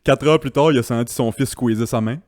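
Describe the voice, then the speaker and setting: A man's voice: mid-range voice, a little fast, darkish tone, dry recording. Male podcast host, Quebec French accent, mid-range voice, casual and joking, recorded dry in a studio. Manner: loose, joking, swears casually. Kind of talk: casual comedic chat.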